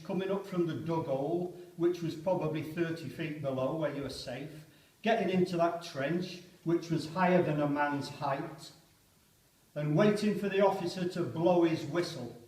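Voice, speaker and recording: A man's voice: on the high side, a little mellow. A man giving a spoken introduction to a poem reading at a church service. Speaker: reader at a church vigil service. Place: parish church. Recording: echoey church, distant microphone.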